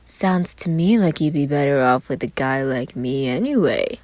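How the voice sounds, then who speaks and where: A woman's voice: very deep voice, lively intonation, normal speed, darkish tone, no room sound. A woman voicing a huge, pushy drunk man with a slurred drawl in an audio story. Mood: leering.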